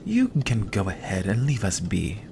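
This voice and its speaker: Man playing a low, possessive, sardonic vampire. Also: wildly swooping pitch, moderate pace, creaky, background noise, full character voice. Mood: possessive, defiant. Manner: sardonic, commanding. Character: possessive, dry-witted male vampire. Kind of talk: ASMR roleplay audio drama.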